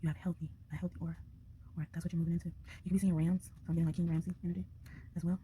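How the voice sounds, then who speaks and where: A woman's voice: fairly low pitch, flat delivery, rapid-fire, mellow, slight background noise. A young woman giving a casual tarot reading close to the mic, chatty and loose with frequent laughs and fillers, her voice slightly congested. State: slightly congested from a sinus infection. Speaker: tarot reader. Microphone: close to a desk microphone.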